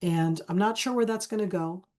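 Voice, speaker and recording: A woman from Israel who has a cold, talking as a panel guest at an ordinary pitch. She is emphatic, repeats key phrases for weight, and restarts her sentences often. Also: normal speed, dark tone. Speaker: guest speaker on a webinar panel. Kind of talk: conversational analysis in an online Q&A.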